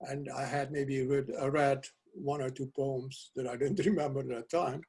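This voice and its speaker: An older man, a Dutch native speaker from South America, talking as a guest poet over a video call from a cluttered home office, soft-spoken and hesitant with restarts. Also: medium pitch, steady tone, normal speed, slightly rough. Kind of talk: casual video call interview. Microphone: video call webcam.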